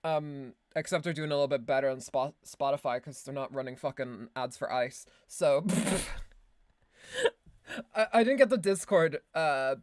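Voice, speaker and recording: An Irish woman, a voice actor, chatting casually on a stream with quick self-mocking asides and laughs. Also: very deep voice, a little mellow, no room sound, clean studio sound. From Ireland. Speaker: voice actor and streamer reacting to a game video. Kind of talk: casual chat.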